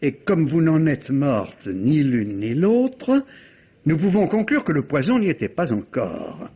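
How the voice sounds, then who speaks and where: Police inspector, old radio mystery: husky, lively intonation, patient and probing, muffled sound. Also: male voice, a little high, measured pace, full character voice. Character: patient, methodical male police inspector questioning suspects. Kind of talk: 1950s French radio mystery acting. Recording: muffled vintage radio drama recording.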